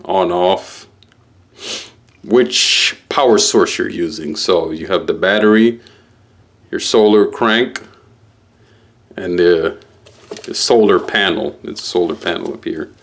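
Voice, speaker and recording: A man giving a casual, halting unboxing walkthrough as a product reviewer, explaining things piece by piece. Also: low-pitched, creaky.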